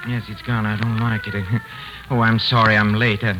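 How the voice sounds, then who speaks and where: An actor playing a nervous, guilty murderer on the run, stammering and repeating himself in a 1940s radio thriller. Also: male voice, on the low side, level tone, normal speed, natural voice. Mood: jittery, frightened. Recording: noisy, dull 1940s radio recording.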